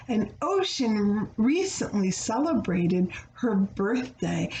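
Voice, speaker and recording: A woman reading a children's story aloud in a teacherly way, pausing to check in with her young listeners. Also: a little high, a little mellow. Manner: addresses young listeners with questions and pauses. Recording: roomy echo, faint background noise.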